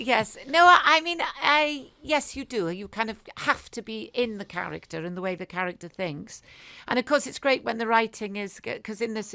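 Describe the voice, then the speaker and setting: An actress talks casually about her work. Her voice is slightly low with plenty of creak, and she thinks aloud hesitantly in a dry, close sound. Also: sharp tone, no echo. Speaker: actor in the audio drama. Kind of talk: casual post-show chat.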